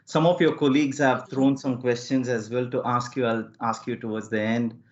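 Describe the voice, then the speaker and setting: A man's voice: mid-range voice, fairly even intonation, normal speed, dull audio. A man hosting a podcast, asking questions with enthusiasm and frequent wows. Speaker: podcast host. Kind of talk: casual interview questions.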